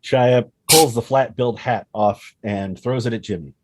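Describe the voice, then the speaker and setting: A man's voice: mid-range voice, moderate pace, a little hiss or hum. A man reading stage directions as scripted narration for a screenplay table read.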